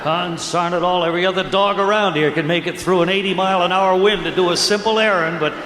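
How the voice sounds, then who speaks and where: A man's voice: high-pitched, steady tone, fast talker, close to an everyday voice. A man playing a cranky, impatient old grandpa who grumbles in folksy exclamations, in an old-time radio comedy. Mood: grumpy, impatient. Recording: echoey, noisy old radio recording.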